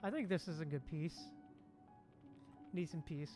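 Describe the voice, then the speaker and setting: Game music plays clearly behind a livestream host with a mid-range voice. The talk is casual and conversational, aimed at viewers in chat. Phrases often come back a second time as the host replies. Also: medium pitch, a little slow. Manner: repeats phrases, replying to chat.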